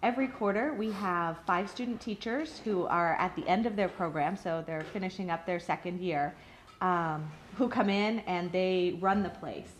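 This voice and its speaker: A woman's voice: on the low side, normal speed. A woman speaking as an instructor, explaining a program in a promotional interview. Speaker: early childhood education instructor. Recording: faint background noise.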